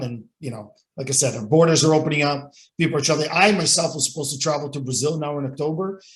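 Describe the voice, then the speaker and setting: A man's voice: on the high side, low-quality recording. A man hosting an online business meeting. He often repeats words and restarts his sentences. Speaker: chamber of commerce host.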